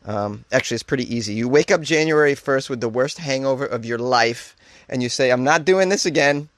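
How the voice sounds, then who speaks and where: A man's voice: mid-range voice, fairly quick, no room sound, clean studio sound. A man hosting a comedy show, reading odd news stories aloud and riffing on them with jokey, mock-incredulous asides, laughing at his own jokes. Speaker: host.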